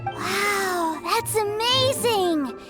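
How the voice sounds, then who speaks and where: Innocent little boy for a game, really high earnest voice, bouncy, music under it. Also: lively intonation, very slow, exaggerated voice. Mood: eager, innocent. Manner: childlike, earnest. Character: innocent, eager little boy, wide-eyed younger brother. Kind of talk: video game character acting.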